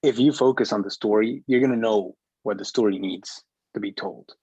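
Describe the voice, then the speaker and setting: A man with a husky, fairly low voice on a dull, lo-fi recording. He explains his view deliberately, in short halting phrases with firm emphasis. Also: warm tone, dry recording. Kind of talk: explaining in group discussion.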